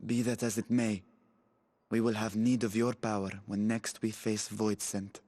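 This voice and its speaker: A man's voice: lowish voice, little pitch movement, a little slow, mellow, no room sound. A man playing a stoic, purposeful warrior in a video game cutscene, speaking in a formal, matter-of-fact way. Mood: stern, resolute. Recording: background music under the voice.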